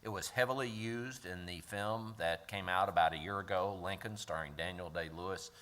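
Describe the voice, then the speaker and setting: Low-voiced man introducing a speaker. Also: normal speed. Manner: relaxed, chatty, with frequent fillers. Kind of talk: event introduction and announcements. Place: lecture hall with an audience.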